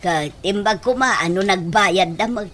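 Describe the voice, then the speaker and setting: An actress playing an envious, scheming, gossipy village woman in an Ilocano comedy radio drama, with exclamatory, drawn-out theatrical reactions. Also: mid-range voice, fairly bright tone, a little hiss or hum, lightly acted. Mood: nosy, probing.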